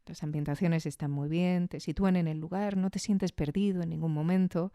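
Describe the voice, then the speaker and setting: A woman's voice: on the low side, fairly even intonation, a little fast, warm tone, no room sound, studio quality. A woman with an accent from Spain hosting a podcast, giving a casual, reflective spoken review with conversational tag questions.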